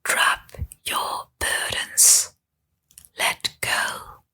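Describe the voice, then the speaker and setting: A woman reading a scripted spiritual ASMR narration in a breathy whisper, in short, deliberate phrases. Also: sharp tone.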